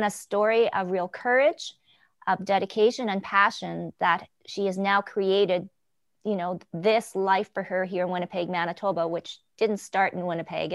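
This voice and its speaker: A woman's voice: medium pitch, smooth, no echo. Woman hosting, with a dry close sound. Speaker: host of a group video chat. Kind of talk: conversational hosting with introductions. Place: remote video call.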